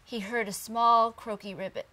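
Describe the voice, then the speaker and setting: A woman narrating a children's picture book in a deliberate, expressive storytelling manner. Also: a little high. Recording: distant from the microphone.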